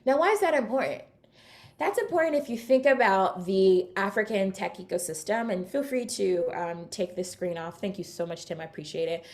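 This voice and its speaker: A woman from California, giving an upbeat, chatty keynote talk at an online summit and speaking straight to her audience. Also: medium pitch. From California, United States. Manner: chatty, upbeat, addresses audience with shout-outs. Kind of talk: energetic conference talk.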